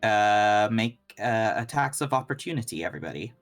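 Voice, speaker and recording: A game master narrating and making rulings in a casual, halting way during a tabletop game. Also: mid-range voice, lively intonation, faint music behind.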